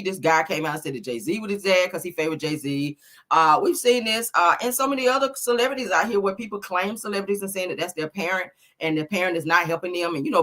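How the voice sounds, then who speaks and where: A woman hosting a celebrity gossip show with sassy, animated commentary, her voice a little sick and deeper than usual. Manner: loud, sassy, calls viewers honey and baby.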